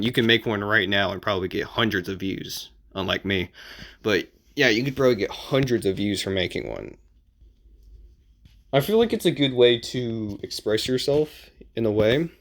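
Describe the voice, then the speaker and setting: A man giving casual advice as an amateur video creator, explaining in an informal, halting and repetitive way. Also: on the low side.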